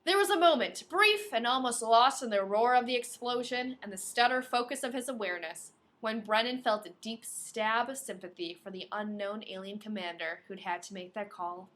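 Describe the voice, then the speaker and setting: A woman's voice: a little high, moderate pace, hardly any pauses, a bit bright. A woman co-hosting a podcast, speaking informally and playfully, with a dramatic flair when reading aloud. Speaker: podcast co-host. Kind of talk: reading a novel aloud with casual banter.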